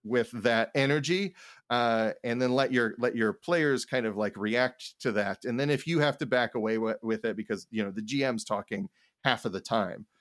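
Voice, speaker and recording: A man co-hosting a casual chat about game mastering, talking in a halting, rambling way and often repeating words. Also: mid-range voice, fairly bright tone, no room sound, clean studio sound. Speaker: podcast co-host.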